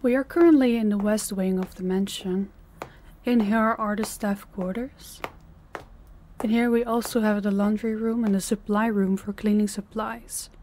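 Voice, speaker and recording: Woman acting a mellow, commanding female mafia boss. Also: mid-range voice, fairly even intonation, slight background noise, natural voice. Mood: cool, commanding. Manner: commanding, condescending, deliberate. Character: dominant, cold female mafia boss, condescending and controlling. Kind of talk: roleplay audio acting, addressing the listener.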